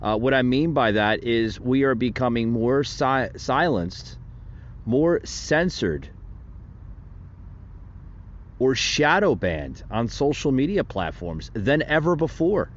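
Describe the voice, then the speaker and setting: A man's voice: mid-range voice, animated, slow, lo-fi sound, slight background noise. A man hosting a solo political commentary podcast, rambling and indignant, with many fillers and restarts. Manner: indignant and preachy. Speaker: podcast host.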